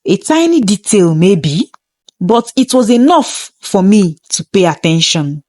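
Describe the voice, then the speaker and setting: A woman's voice: medium pitch, big pitch swings, measured pace, mellow, no room sound, clean studio sound. A woman reading a scripted first-person story in a dramatic, suspenseful manner.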